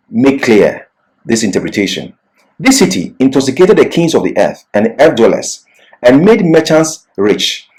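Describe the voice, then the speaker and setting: A man's voice: mid-range voice, darkish tone, poor audio. A man giving an expository Bible lecture as a teacher, in a didactic, emphatic manner.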